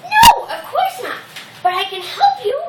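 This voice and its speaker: A woman voicing a small, eager, polite mouse with a squeaky voice in a storytelling. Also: sing-song, a little slow, full character voice. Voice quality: squeaky, high-pitched. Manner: polite, pleading. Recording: roomy, distant mic, noisy.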